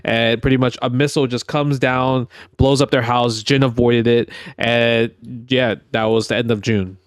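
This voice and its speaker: A man's voice: mid-range voice, little pitch movement, moderate pace, clean tone, no echo, studio quality. A man co-hosting a podcast in casual, slangy chat, often repeating the start of his phrases. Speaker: podcast co-host.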